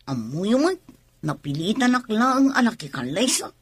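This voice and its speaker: A woman's voice: medium pitch, big pitch swings, normal speed, breathy edge. A woman playing an irritable, curt pregnant young wife in an Ilocano comedy radio drama, speaking with an Ilocano accent. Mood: sullen. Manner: grumbling, curt, complaining.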